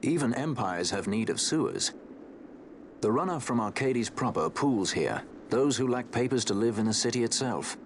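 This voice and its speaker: An actor playing a suave, sardonic adventurer in a video game, with wry, eloquent, theatrical phrasing. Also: male voice, medium pitch, animated, moderate pace, slight background noise, natural voice. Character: suave, sardonic male adventurer, worldly and self-assured. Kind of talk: video game cutscene acting.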